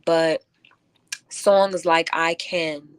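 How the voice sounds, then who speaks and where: Low-voiced woman, thoughtful and halting. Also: fairly even intonation, clean tone, no room sound. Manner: thoughtful, halting, many filler likes. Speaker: guest poet and lyricist. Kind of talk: reflective radio interview answers. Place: close-miked radio show.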